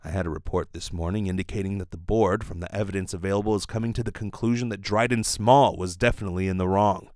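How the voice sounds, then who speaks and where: A man voicing a cool, authoritative police detective, measured and matter-of-fact, in an old-style radio play. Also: sits low, fairly even intonation, a little mellow, no echo, studio quality, lightly acted. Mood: calm authority. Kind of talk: old radio serial acting.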